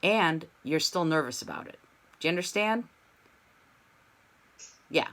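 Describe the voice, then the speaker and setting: A woman's voice: low-pitched, lively intonation, a little slow, a bit bright. A woman teaching an online singing lesson, coaching students and demonstrating sung scales on "ma". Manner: encouraging, demonstrates sung vowels.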